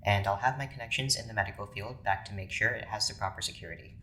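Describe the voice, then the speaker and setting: An actor playing a stern, cautious doctor in an audio drama, speaking formally with dry, measured authority. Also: sits low, pushed and bright, a little hiss or hum. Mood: firm, cautious. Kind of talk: scripted audio drama acting.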